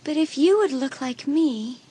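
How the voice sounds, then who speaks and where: A woman's voice: sits high, lively intonation, measured pace, warm tone. Woman voicing a nervous teenage girl. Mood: wary. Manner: nervous and wary. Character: anxious fifteen-year-old girl.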